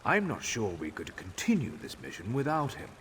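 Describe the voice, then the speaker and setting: An actor playing a loyal, wry veteran comrade in a fantasy video game. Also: male voice, on the low side, huge pitch swings, moderate pace, a bit bright. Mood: relieved, wry. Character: loyal, wry old male comrade in arms. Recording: long hall reverb, faint noise.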